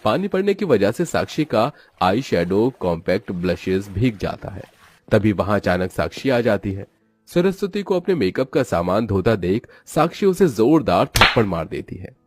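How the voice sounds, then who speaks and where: A man's voice: lowish voice, sing-song, moderate pace, soft background music. A man narrating a scripted moral story as its storyteller.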